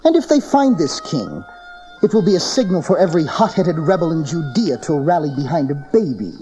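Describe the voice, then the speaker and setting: An actor playing a crafty, obsequious royal adviser in a dramatized audio Bible, insinuating and emphatic. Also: male voice, on the high side, big pitch swings, a little fast, warm tone, soft background music. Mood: insinuating alarm.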